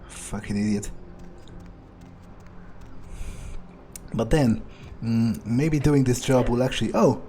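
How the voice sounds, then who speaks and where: Man playing a slow-talking, cynical vampire hitman. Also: medium pitch, mellow, slight background noise, natural voice. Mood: mocking. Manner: musing, halting, foul-mouthed. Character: cynical, arrogant, cold-blooded male vampire hitman. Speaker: gamer playing in character. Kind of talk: in-character game playthrough monologue.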